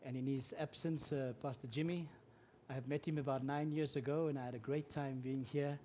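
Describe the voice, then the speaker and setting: A man's voice: medium pitch, fairly even intonation, fairly quick, a little hiss or hum. Afrikaans-man preaching. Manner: earnest, grows louder and more emphatic when preaching. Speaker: guest preacher. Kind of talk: sermon.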